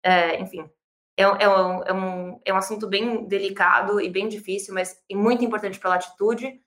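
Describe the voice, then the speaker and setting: A Brazilian woman in her thirties, a startup founder answering interview questions with lively, quick speech full of fillers and restarts. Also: medium pitch, roomy sound. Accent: Brazilian Portuguese. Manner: fast, animated, many fillers and restarts.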